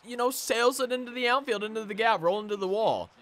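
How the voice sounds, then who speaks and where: Bright-voiced young man with a sore throat. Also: sits high, quick pace. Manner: excitable, sing-song when hyped. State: sore throat, losing his voice. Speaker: gaming channel host. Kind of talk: live gameplay commentary. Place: home gaming room.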